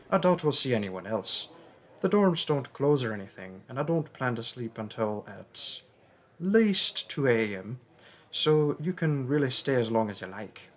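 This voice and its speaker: A man's voice: medium pitch, animated, normal speed, lightly acted. A man voicing a friendly, chatty young mage student in a roleplay audio drama, speaking casually and a little hesitantly, straight to the listener. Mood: chatty, jokey. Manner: casual, rambling, hesitant, jokey.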